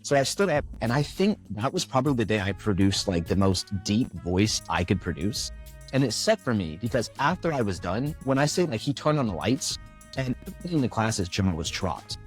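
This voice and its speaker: A young man talks about his voice work in a relaxed interview, telling stories with lively, swooping intonation. His voice sits a bit low, and he slips into quoted voices as he goes. Soft music plays faintly in the background. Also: fairly quick. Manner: animated storyteller, acts out quoted voices. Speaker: voiceover artist, guest. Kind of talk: casual interview chat.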